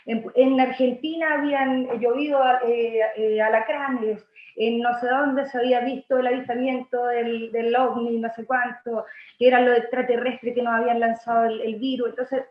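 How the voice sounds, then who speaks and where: A Chilean actress and playwright talking over a video call, halting, with many restarts. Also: female voice, fairly high pitch, level tone. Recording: echoey room, muffled lo-fi sound.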